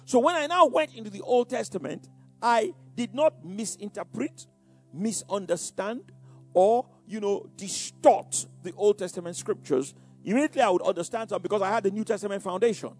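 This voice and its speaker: High-voiced older Nigerian preacher. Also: male voice, normal speed, darkish tone, husky, faint music behind. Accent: Nigerian Yoruba. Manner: repeats words, frequent "you know" fillers. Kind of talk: sermon-like personal testimony.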